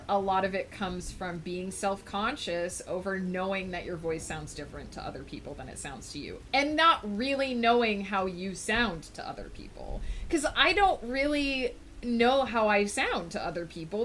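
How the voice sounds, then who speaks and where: A woman livestreamer in casual chat with her viewers, rambling thoughtfully with many fillers and brightening up to greet people in chat. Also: mid-range voice, slight background noise. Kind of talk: casual chat with a live audience.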